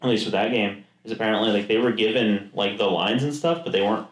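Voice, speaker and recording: A man's voice: lowish voice, quick pace, echoey room, rough recording. A man co-hosting a podcast in loose casual chat, heavy on fillers, acting out quoted exchanges. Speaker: podcast co-host.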